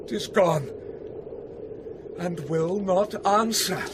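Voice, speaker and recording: A man's voice: sits high, slow. A British man acting an earnest, anxious soldier on night watch in Shakespearean radio drama, with intense theatrical delivery. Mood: alarmed. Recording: noisy 1990s radio drama with ambience.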